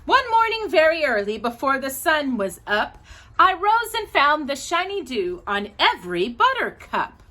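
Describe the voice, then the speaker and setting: A woman hosting a children's storytime, reading aloud in an animated, sing-song manner. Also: high-pitched, measured pace.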